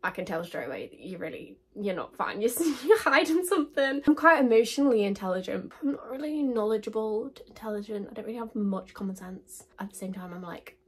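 Chatty, husky young English woman. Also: medium pitch, expressive, fairly quick, few pauses. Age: 20s. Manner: chatty, gushing, lots of likes and literallys. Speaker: beauty vlogger. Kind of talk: chatty get-to-know-me vlog.

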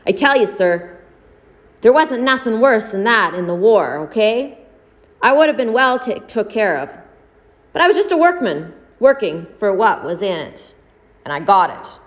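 A woman's voice: mid-range voice, big pitch swings, moderate pace, lightly acted. A woman voicing a bitter, whining blind male peddler who tells his story in a pleading, dramatic way. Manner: pleading, self-pitying, rising to shouts.

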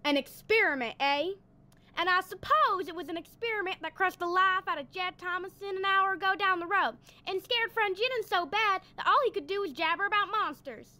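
A woman's voice: very high voice, brisk, bright, forceful tone. A woman playing a suspicious, folksy, commanding female sheriff in a 1940s-style radio drama. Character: gruff, suspicious armed female country sheriff.

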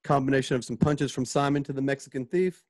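A man's voice: mid-range voice, fairly even intonation, fast, darkish tone. A man reading scripted stage directions and sound effects as narrator of a radio play. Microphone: home video call.

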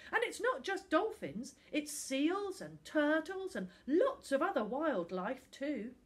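A woman's voice: high-pitched, very animated, slow pace, voice pushed up, heavily acted. A woman voicing an earnest young boy, pleading insistently in an audiobook story. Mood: earnest, upset. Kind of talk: audiobook character acting.

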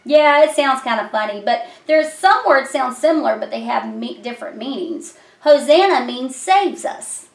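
A woman's voice: high voice, animated, moderate pace, vocal fry, few pauses. A middle-aged woman hosting a children's puppet show, telling Bible stories in a simple, explanatory way. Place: small decorated room indoors. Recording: echoey room.

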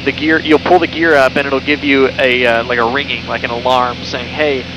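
A man's voice: medium pitch, a little fast. A young man giving a casual, conversational cockpit tour, speaking through a headset mic in a small airplane in flight. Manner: relaxed, conversational, with fillers and self-corrections. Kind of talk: casual explanatory walkthrough. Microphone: headset boom mic. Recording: engine noise through intercom. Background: steady engine drone.

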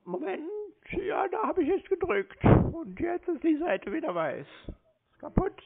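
Male prank caller, hesitant and clueless, slow delivery, muffled phone sound. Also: very high voice, very animated, creaky. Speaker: prank caller playing along with phone scammers. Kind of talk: unscripted prank phone call. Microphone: phone call. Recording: dull, lo-fi phone call audio.

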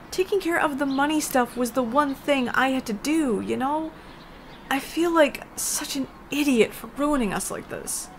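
An actress playing a worried, apologetic young wife in a comic dub, earnest and hesitant. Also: female voice, on the high side, slight background noise.

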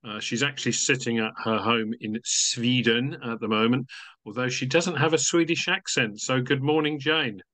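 Older male host, bright, dry close webcam sound, chatty. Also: mid-range voice, moderate pace, dry recording. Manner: genial, chatty, rambling questions. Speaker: interview host. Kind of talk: video call interview. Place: home study with bookshelves. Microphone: webcam video call.

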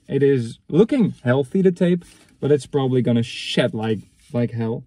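A man in his thirties with a mellow, somewhat husky voice talks casually inside a car. He sounds matter-of-fact and halting, thinking aloud as he comments on things in his hands. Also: mid-range voice. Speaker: vlogger reviewing secondhand reel-to-reel tapes. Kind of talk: casual unscripted chat, commenting on items as he handles them.

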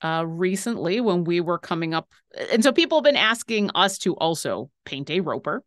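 A woman's voice: fairly low pitch, animated, moderate pace, dry recording, clean studio sound. A young woman co-hosting a miniature-painting livestream, joking around and reading viewer questions aloud from home. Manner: playful, jokey, reads viewer questions aloud. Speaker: co-host learning to paint miniatures. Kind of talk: casual livestream chat. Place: indoor home room.

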